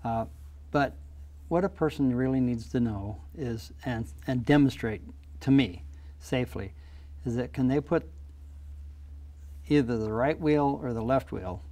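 A man's voice: medium pitch, measured pace, dark tone. An older man, a pilot, explaining flying practice in a plainspoken, practical way in a small TV studio interview on a lapel mic. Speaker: guest pilot.

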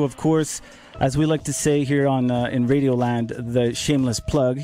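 A man's voice: fairly high pitch, mellow. A man hosting a casual radio interview from a studio. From Canada. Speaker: radio host.

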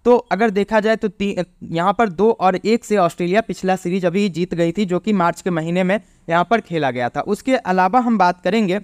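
High-pitched, clear man. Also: normal speed, hardly any pauses, no room sound, clean studio sound. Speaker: cricket preview presenter. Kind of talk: explanatory pitch report commentary over on-screen stats.

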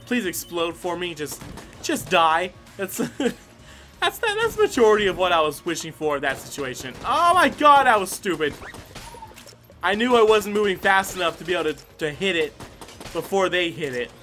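High-pitched, animated male gamer. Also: normal speed. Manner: exclamatory, swearing, talking to himself and the game. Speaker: gaming video host. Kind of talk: casual excited gameplay commentary. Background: game music.

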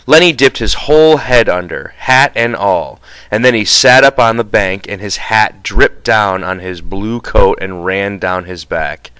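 Low-pitched man narrating a novel. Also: unhurried, few pauses, a bit bright, clean tone, dry recording, low-quality recording. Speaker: audiobook reader.

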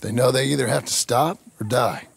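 An actor playing a rough, eager American outlaw in a video game, gravelly, plain-spoken and folksy. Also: male voice, mid-range voice, fairly quick. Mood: eager, persuading. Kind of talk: video game character acting.

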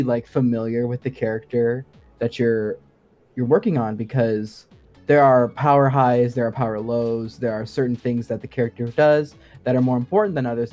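A man's voice: medium pitch, dark tone. A man speaking as a guest sound designer in a casual podcast discussion, explaining things informally and with enthusiasm.

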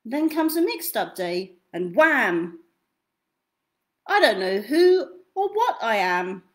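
A young woman reading picture books aloud to children in an expressive, theatrical way, drawing out key words. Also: a little high. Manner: theatrical, deliberate, drawn-out delivery of key words. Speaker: children's storytime reader. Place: small indoor room at home.

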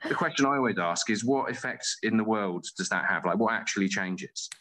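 A low-pitched British man speaks as an interview guest. His tone is bright and forward, and he is earnest and articulate, often reworking a sentence as he thinks it through. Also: fairly quick. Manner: earnest, articulate, thinking aloud with self-corrections. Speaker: interview guest, playwright and theatre company director. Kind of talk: conversational interview answer, reflective.

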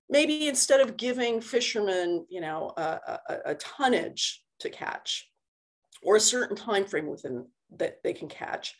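A woman giving an informal lecture over a video call, conversational and explaining things with everyday analogies. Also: medium pitch. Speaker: university lecturer giving a talk. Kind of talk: informal slide lecture and answers. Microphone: video call computer microphone.

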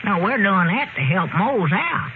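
An actor playing an excitable, teasing old country storekeeper in a folksy rural Southern accent, in classic radio comedy style. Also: male voice, high voice, normal speed. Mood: folksy, earnest. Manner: folksy, rambling, giggly. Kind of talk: 1930s-40s radio comedy acting. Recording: scratchy, narrow-band old radio recording.

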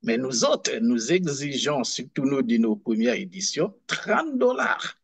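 A man speaking on a video call, a guest in an interview, explaining at a deliberate pace and repeating words as he searches for them. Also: medium pitch, big pitch swings, vocal fry, husky, no echo. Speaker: guest, book fair director. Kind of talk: conversational interview answer.

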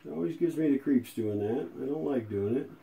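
A man casually narrating a hands-on demonstration as host, folksy and unhurried, thinking aloud as he goes. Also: mid-range voice, vocal fry, warm tone. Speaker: video host and radio restorer.

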